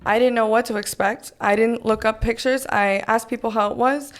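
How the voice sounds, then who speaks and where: A woman's voice: on the high side, fairly even intonation, moderate pace. A woman on a podcast, telling a travel story in a chatty, upbeat way. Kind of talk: casual storytelling.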